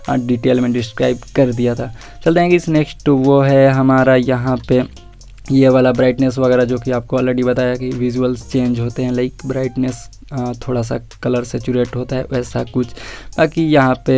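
A man's voice: medium pitch, gravelly, mellow. A man giving a casual phone feature walkthrough, mixing English words into informal speech. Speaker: tech reviewer.

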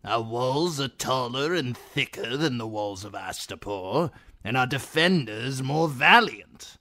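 A man's voice: medium pitch, expressive, a little slow, pushed and bright, voice pushed up. A man doing a scowling scornful official. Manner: scornful, disbelieving. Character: scowling skeptical male official.

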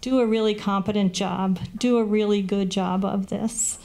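A woman in her sixties delivers a measured, earnest statement at a candidate forum. Her voice has a gravelly, fry-tinged edge at a middling pitch. She speaks into a table microphone in a small meeting room. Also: fairly even intonation, mellow. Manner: earnest, measured, with a brief laugh at running out of time. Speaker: political candidate, incumbent councilor. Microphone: table microphone on a stand.

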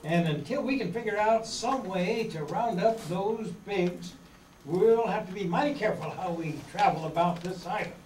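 A high-pitched, practical leader of young castaways, acted out by a man in an old-time radio adventure. He takes charge as he reads from a script. The sound is roomy and distant, with faint noise. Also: normal speed, darkish tone, close to an everyday voice. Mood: earnest. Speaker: amateur actor in a live radio-play reading. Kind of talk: 1930s children's radio adventure acting, read from script. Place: meeting room stage before seated audience. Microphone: far camera.

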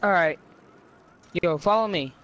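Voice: medium pitch, a little slow, a bit bright, dull audio. A player casually roleplaying a bossy crew leader in an online crime game. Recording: faintly noisy game audio with vehicles. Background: faint game vehicle noise.

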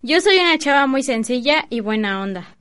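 Woman playing a clear-voiced, vain Mexican schoolgirl. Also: sits high, expressive, moderate pace, fairly bright tone. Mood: chirpy. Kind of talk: radio drama acting.

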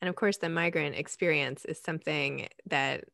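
A woman's voice: sits low, steady tone, moderate pace, gravelly, fairly bright tone, no echo, clean studio sound. A woman in her forties moderating an author interview over a video call from her home library, chatty, building long lead-in questions. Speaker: moderator host. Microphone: video call webcam.

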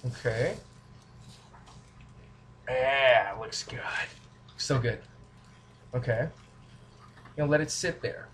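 A man's voice: mid-range voice, takes it slowly, long pauses, a bit bright. Young male home cook, goofy and chatty, talking to a camera across a small kitchen with faint background noise. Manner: goofy, rambling, talks to camera. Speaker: home cooking vlogger. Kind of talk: casual cooking demo, joking asides. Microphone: camera mic from a few feet away.

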